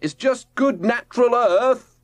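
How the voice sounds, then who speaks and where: Man doing a sulky, high-pitched schoolboy. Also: a little slow, no room sound. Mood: smug. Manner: plaintive, protesting. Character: sulky, indignant schoolboy, stubborn and matter-of-fact.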